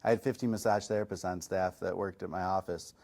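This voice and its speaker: Relaxed, chatty man with a lowish voice. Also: quick pace. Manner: relaxed, self-deprecating, chatty with fillers. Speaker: chiropractor and massage chair reviewer. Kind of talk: casual podcast interview answers.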